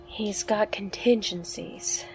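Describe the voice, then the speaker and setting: A woman's voice: medium pitch, slow, rough recording. A woman acting in an audio drama as a calculating, knowing member of a vigilante team. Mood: grim. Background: music.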